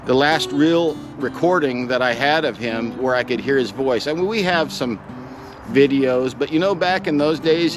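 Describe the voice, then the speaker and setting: Middle aged guy with a medium pitched voice, folksy and sincere, telling a personal story, music behind him. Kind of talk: reflective, personal storytelling to camera.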